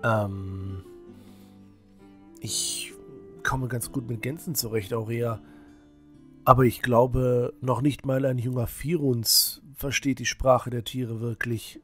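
Guy playing a teen novice priest, slow and husky, earnest, kinda hesitant, audio drama. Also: mid-range voice, steady tone, a bit bright, faint music behind. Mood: modest, hesitant. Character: modest, loyal teenage novice priest, thoughtful.

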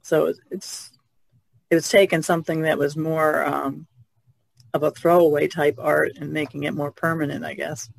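Creaky-voiced woman in casual chat. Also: low-pitched, level tone, moderate pace, no echo, rough recording. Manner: tentative, hedging. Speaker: podcast co-host.

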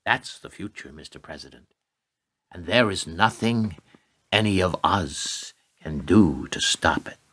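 A man's voice: sits low, animated, a little slow, a bit bright, slightly rough, full character voice. A man acting in a radio play as a grim, urgent adviser lecturing the US President. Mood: grave warning.

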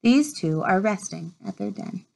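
A woman in her thirties reading a children's nature book aloud at home, with measured storytime phrasing. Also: medium pitch, animated, gravelly, darkish tone. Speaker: read-aloud presenter. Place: small furnished room at home, indoors.

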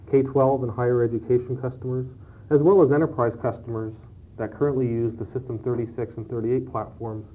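A man giving scripted product answers as an interview guest on an old cassette. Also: medium pitch. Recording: dull, noisy early-1990s cassette tape.

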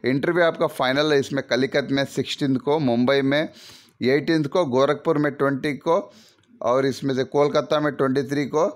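A man from India reading through job adverts in a loose, chatty voice-over. He repeats his advice and drops in frequent "okay"s as he goes. His voice sits at an ordinary middle pitch. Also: fairly even intonation, normal speed. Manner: chatty, repetitive, advisory, with many fillers like "okay". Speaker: YouTube job-vacancy channel host.

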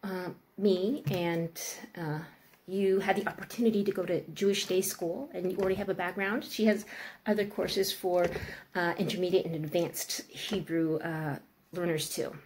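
A woman's voice: lowish voice, fairly even intonation, normal speed, darkish tone. A woman in her forties with an American accent talks casually to camera in a playful, self-deprecating way. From United States. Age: 40s. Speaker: vlogger reviewing a language course. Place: small indoor room at a table. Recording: clearly noisy home recording with clicks.

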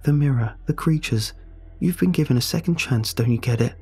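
A man's voice: medium pitch, brisk, a little mellow, slightly rough, voice pushed up, close to an everyday voice. A man voicing an earnest young man who pleads desperately with a friend. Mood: pleading.

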